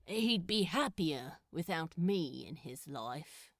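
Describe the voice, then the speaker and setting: A woman's voice: sits low, animated, slow, no echo, studio quality, pitched below the performer's own voice, heavily acted. A woman voicing a downhearted, bewildered male demon in a story reading, speaking in a hesitant, glum way. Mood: downcast.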